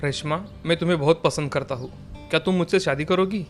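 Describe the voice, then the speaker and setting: A man's voice: a little high, quick pace, faint music behind, lightly acted. A man playing an earnest, principled young well-off suitor and son in a story, speaking firmly and sincerely. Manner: earnest, firm. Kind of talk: Hindi moral story dialogue.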